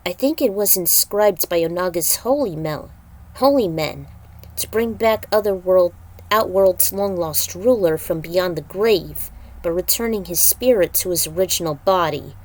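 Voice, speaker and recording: A woman voicing a solemn, measured noblewoman in a story reading. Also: fairly low pitch, darkish tone.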